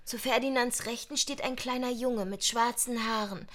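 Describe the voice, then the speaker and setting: An actress in a German radio drama playing a young adult daughter, exasperated and pleading, who talks in an urgent, insistent way. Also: female voice, a little high, fairly even intonation, normal speed, a bit bright, lightly acted. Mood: careful, descriptive.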